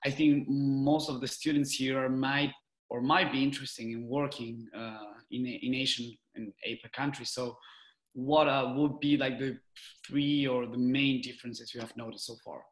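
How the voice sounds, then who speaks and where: A man in his twenties moderating an online panel, putting questions in a halting way, searching for words. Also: mid-range voice, fairly even intonation. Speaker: moderator, student. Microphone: video call. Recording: roomy, echoing video call audio.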